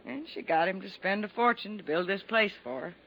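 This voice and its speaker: A resentful, middle-aged American housekeeper from a small village in a radio play. Her voice is sharp and forceful. She speaks in a folksy, clipped way with a sardonic edge. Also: medium pitch, brisk, low-quality recording. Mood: bitter, sardonic. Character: bitter, resentful older village housekeeper, a spinster. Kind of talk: old-time radio drama acting.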